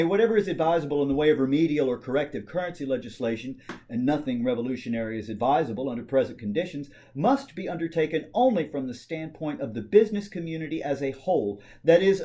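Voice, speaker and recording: A man with a voice pitched a little above average performs a historical political speech. He declaims it formally, with dramatic emphasis and carefully measured phrasing. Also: mellow. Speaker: amateur reader of a historical speech.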